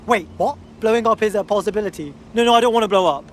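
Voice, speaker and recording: A man's voice: high voice, quick pace, a little hiss or hum, lightly acted. An actor playing a cheeky, brash young male resistance operative in a video game. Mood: alarmed. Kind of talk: video game cutscene acting.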